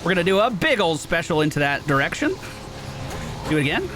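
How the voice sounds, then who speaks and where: A man's voice: a little high, big pitch swings, fast talker, background noise. A man hosting a gaming video, giving upbeat running commentary on his own play. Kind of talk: gameplay commentary. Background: video game music.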